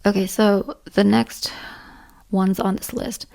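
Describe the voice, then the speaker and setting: A woman with a tired, hoarse voice talks casually and earnestly through a list of favorites, repeating words for emphasis. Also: mid-range voice, level tone, slow, darkish tone. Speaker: book reviewer, channel host. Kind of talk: casual list-style book and manga chat.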